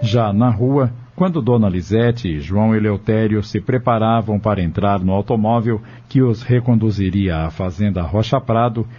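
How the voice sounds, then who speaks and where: A man's voice: on the low side, normal speed, few pauses, mellow, slightly rough. A man reading scripted narration for an audio drama as its storyteller. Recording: dull lo-fi audio. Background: music.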